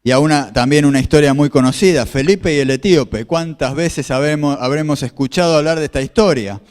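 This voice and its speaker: A man's voice: fairly high pitch, fairly quick, darkish tone, in a large hall. A man preaching a sermon as a pastor, explaining scripture and asking his listeners questions. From Argentina. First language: Spanish. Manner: explanatory, repeats phrases, asks the audience questions. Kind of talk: sermon with slides.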